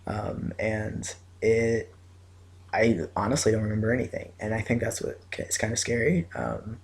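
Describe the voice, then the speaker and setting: A man's voice: lowish voice, fairly even intonation, moderate pace. A young man vlogging casually from bed, groggy and rambling, his voice scratchy after surgery. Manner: groggy, rambling, lots of fillers. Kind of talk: casual chat to camera. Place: in bed at home.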